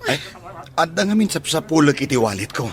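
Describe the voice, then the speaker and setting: A man's voice: on the high side, sing-song, brisk, fairly bright tone. A man playing an amiable, smitten bachelor businessman in an Ilocano radio drama, polite and chuckling. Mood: apologetic, polite.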